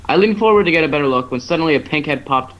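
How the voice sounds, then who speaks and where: A man's voice: a little high, expressive, racing, lo-fi sound. A man narrating fan fiction casually as an amateur storyteller, now and then stumbling over the script. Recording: noisy amateur online stream.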